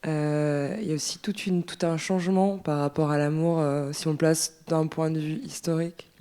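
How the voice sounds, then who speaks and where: A woman in the audience at a library book talk, giving a thoughtful, hesitant comment with many pauses and fillers. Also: sits low, steady tone, mellow, clean tone. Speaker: audience member. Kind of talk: spontaneous spoken comment. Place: library event room.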